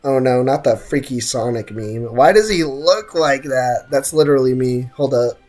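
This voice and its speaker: Streamer, young man, American accent, off-the-cuff slangy gaming chatter, soft music underneath. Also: mid-range voice, moderate pace. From United States. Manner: slangy, reads chat aloud and answers it. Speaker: game streamer. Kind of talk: casual live gaming chat. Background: game music.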